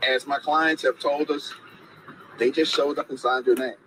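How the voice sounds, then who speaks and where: A man's voice: mid-range voice, fairly even intonation, normal speed. A man in his forties, an attorney, giving an outdoor press statement, stressing his points by repeating phrases. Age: 40s. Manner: emphatic, repeats phrases for effect. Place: outdoors by a gate. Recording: outdoor recording with clear background noise.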